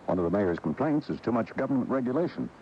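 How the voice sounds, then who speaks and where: American news guy on old radio, lively intonation, kinda muffled with faint hiss. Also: medium pitch, fast. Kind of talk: scripted news reading. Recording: dull radio broadcast with faint noise.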